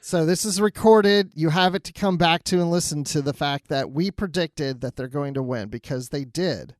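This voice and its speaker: A man's voice: a little high, moderate pace, no room sound. A man co-hosting a fan podcast in casual, conversational chat. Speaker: podcast co-host.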